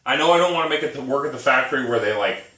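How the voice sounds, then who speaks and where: A man's voice: medium pitch, lively intonation, rapid-fire, bright, forceful tone. A man in his thirties co-hosting a casual chat, rambling through stories and laughing often. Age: 30s. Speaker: podcast co-host. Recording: echoey room, mic at a distance.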